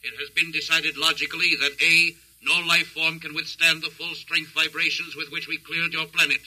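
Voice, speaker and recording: A man's voice: fairly high pitch, flat delivery, moderate pace, pushed and bright, poor audio. A man playing a cold, logical alien captor in a 1950s radio drama, speaking in stiff, formal, precise phrases. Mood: emotionless, formal. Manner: stiff, formal, precise delivery without contractions.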